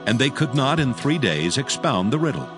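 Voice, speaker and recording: A man narrating scripture dramatically in archaic English, solemn and measured. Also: mid-range voice, big pitch swings, over music.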